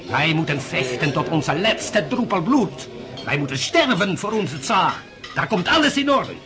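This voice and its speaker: An old hussar colonel in a radio drama. His voice sits high for a man and he declaims with fervent, blustering conviction. Clear music plays behind him. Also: sing-song, unhurried, sharp tone, heavily acted. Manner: emphatic, declamatory. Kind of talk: radio play acting.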